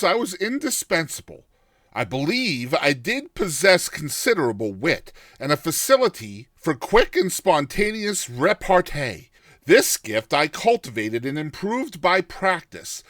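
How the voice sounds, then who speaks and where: A man narrating a classic short story in a theatrical, expressive first-person style, like a dramatized audiobook. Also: a little high, no room sound, clean studio sound.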